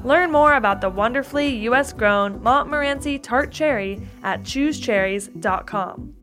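A woman's voice: a little high, moderate pace, a bit bright, faint music behind. A woman reading a scripted sponsor ad in a polished, upbeat way. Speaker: ad reader. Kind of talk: scripted ad read.

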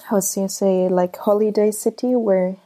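A woman's voice: mid-range voice, fairly even intonation, moderate pace, warm tone. A woman in her twenties from Germany, a photographer answering interview questions in a hesitant, casual way with many fillers. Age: 20s. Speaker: guest photographer.

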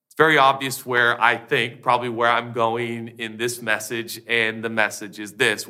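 A male pastor preaching an emphatic, animated sermon from a church stage, with quick asides and repetition. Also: mid-range voice.

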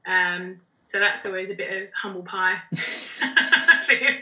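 A woman with an Australian accent talks casually as an interview guest, with plenty of fillers and restarts. Her voice is very bright and forward. The room around her rings with noticeable echo. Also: mid-range voice, slow pace. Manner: chatty, lots of fillers and restarts. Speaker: podcast guest, general manager.

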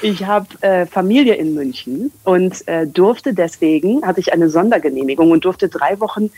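A woman's voice: on the low side, expressive, normal speed, dark tone, husky. A woman in her thirties, a communication coach and English trainer, talking in a casual, rambling interview with many fillers.

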